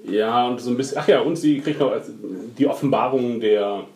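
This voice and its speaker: A man's voice: mid-range voice, darkish tone. Hesitant man recapping an episode, roomy echo. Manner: halting, many filler sounds, wry. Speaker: podcast co-host.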